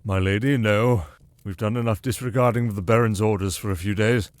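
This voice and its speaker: Man playing a low-voiced, proper, protective retainer. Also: fairly even intonation, a little fast, no echo, lightly acted. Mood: reluctant, exasperated. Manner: formal, proper, dutiful. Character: protective, exasperated retainer to a noble lady. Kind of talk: fantasy political audio drama acting.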